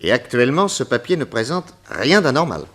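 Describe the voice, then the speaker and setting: A man playing a shrewd, courteous private detective in a radio play, measured and inquisitive in his delivery. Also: mid-range voice, very animated, a bit bright. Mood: analytical.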